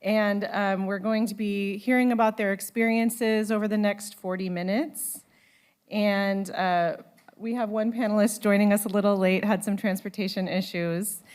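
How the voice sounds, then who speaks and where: A woman's voice: medium pitch, steady tone, moderate pace, a little mellow, clean tone. Woman moderating a conference panel. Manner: organized, with frequent restarts and fillers. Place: conference room. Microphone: handheld microphone.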